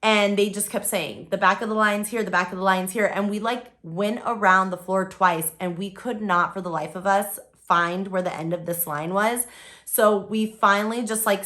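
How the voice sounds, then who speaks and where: Bright, chatty young woman vlogging. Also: mid-range voice. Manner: chatty, lots of "like" and "um". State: voice recently lost, recovering. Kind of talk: casual vlog recap.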